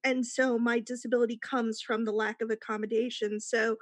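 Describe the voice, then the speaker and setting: A woman's voice: a little high, little pitch movement, fairly quick, dry recording, clean studio sound. A woman panelist in a casual discussion, reflective and given to explaining her points.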